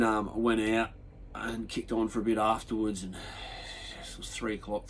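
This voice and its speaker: An Australian man hosting his own podcast solo, sounding nasal and chesty from a sinus infection, rambling through a relaxed story with lots of ums and restarts. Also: on the low side, a little hiss or hum, poor audio. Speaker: podcast host. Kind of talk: casual solo podcast chat.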